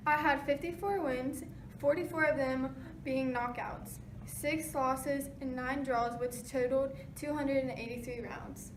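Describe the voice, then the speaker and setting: A woman's voice: high-pitched, steady tone, unhurried. A woman playing a famous 1920s male boxing champion, giving rehearsed answers in an interview. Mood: matter-of-fact. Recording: noisy, echoey room, distant mic.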